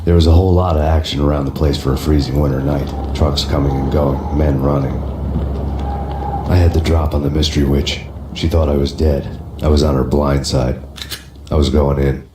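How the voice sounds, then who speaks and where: A grim, deadpan noir cop narrator. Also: male voice, very deep voice, moderate pace, noisy background. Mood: weary. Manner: flat, deadpan and world-weary. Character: brooding hardboiled male cop, grim and weary. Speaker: narrator, game protagonist. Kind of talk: hardboiled noir voice-over narration. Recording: roomy echo with loud music score.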